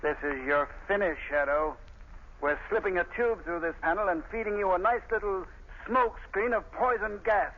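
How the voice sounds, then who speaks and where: A gravelly sneering gang boss. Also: male voice, high voice, normal speed. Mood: menacing. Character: ruthless male gang boss, sneering lawyer-turned-criminal. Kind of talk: 1930s radio drama acting. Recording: dull 1930s radio recording with hiss.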